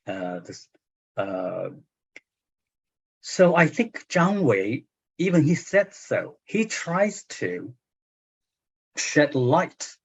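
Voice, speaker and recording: An older man in his sixties with a Chinese accent speaks slowly, pausing often to find his words. His voice is a little husky, and the audio is muffled and lo-fi, like a video call. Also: medium pitch. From China. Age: 60s. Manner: hesitant, many fillers and restarts. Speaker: translator. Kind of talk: book club discussion.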